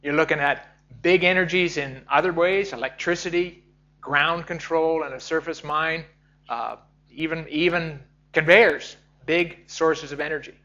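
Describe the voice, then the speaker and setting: A middle-aged man with a Canadian accent giving a seminar talk on safety, lively and asking the audience questions. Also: a little high, measured pace. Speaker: safety lecturer. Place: seminar hall. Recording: dull lo-fi recording.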